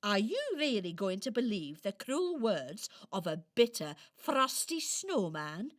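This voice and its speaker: A woman playing a kind, encouraging Christmas tree fairy in a children's radio play, gentle, motherly and reassuring. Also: mid-range voice, very animated, measured pace. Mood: proud, reassuring.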